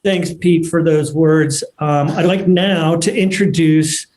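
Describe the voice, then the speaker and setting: A man hosting an event, giving a semi-formal welcome speech with halting, repeated words. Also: fairly high pitch, fairly even intonation, darkish tone. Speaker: event host and organizer.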